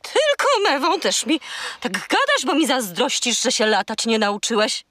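A high-voiced, scatterbrained girl seagull chatters like a boastful little storyteller. Her delivery is eager and very lively, though she takes her time over the words. It is children's radio-play acting. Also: measured pace, no echo, studio quality, full character voice. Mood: chatty. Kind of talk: children's audio drama acting.

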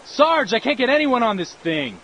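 A man playing an excitable, anxious young American army radio operator with a strained voice. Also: extremely high pitch, normal speed, highs cut off, a little hiss or hum, exaggerated voice. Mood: frustrated. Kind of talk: war game dialogue.

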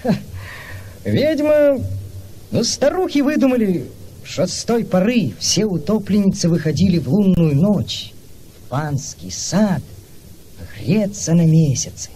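Young lovestruck cossack storyteller in a radio play, high soft mellow voice, swoops around, bit of noise. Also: male voice, a little slow. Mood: wry, mysterious. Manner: expressive, hushed suspense rising to excitement.

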